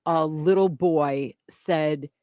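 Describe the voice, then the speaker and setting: A woman's voice: deep voice, drawn-out words, mellow, no room sound, highs cut off. A middle-aged woman co-hosting a radio show, telling funny stories, laughing and breaking into bits of song. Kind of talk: casual chat, storytelling.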